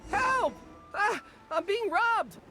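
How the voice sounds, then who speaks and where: A woman's voice: very high-pitched, expressive, takes it slowly, pushed and bright, soft background music, full character voice. A woman voicing a nervous, humble young warehouse servant in a video game, hesitant and deferential. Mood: panicked.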